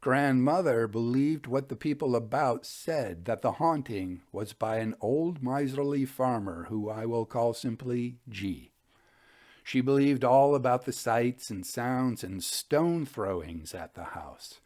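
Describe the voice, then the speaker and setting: A man hosting a storytelling show, reading old ghost tales and commenting on them in a measured, literary way. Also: medium pitch, expressive, a little mellow. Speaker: podcast host and storyteller.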